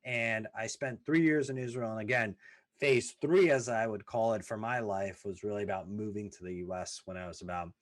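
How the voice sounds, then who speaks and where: A man's voice: lowish voice, moderate pace. A man in his thirties hosting a podcast, telling his family's immigration story in an earnest, emphatic way, stacking lists and repeating phrases for weight. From former Soviet Union, raised in the US. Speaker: podcast host. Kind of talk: personal storytelling monologue.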